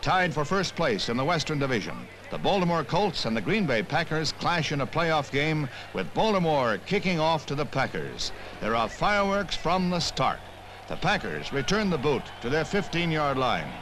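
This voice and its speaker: A man's voice: on the high side, very animated, normal speed, noisy background. An American man reading scripted 1960s newsreel narration in a punchy, wry manner, on an old film soundtrack. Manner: punchy, wry, punning delivery. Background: music.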